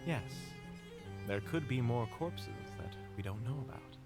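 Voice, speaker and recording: A man acting a brisk, eager expedition leader in an audio roleplay, speaking with measured command. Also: mid-range voice, animated. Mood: matter-of-fact. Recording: music bed under the voice. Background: background music, strings.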